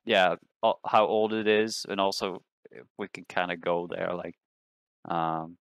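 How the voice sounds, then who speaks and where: A man's voice: low-pitched, flat delivery, unhurried, fairly bright tone, smooth, dry recording. A man playing a tabletop game, casually asking questions as a player.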